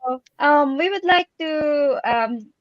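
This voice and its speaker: A woman co-hosts a live online talk, keeping her replies short and encouraging. Her voice sits a little high. The sound is dull and dry, like a video call. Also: takes it slowly, no echo, faint music behind. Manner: brief encouraging replies, laughs. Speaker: co-host. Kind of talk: casual chat.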